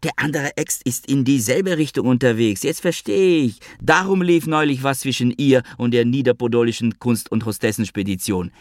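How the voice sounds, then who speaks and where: A man's voice: moderate pace, few pauses, dry recording, close to an everyday voice. A male narrator doing a pampered, blustering fat man whose voice climbs into a whiny squeal. He pleads, taunts and wheedles in turn. The read is close and dry, with no room around it. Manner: whining, wheedling, high and squealing.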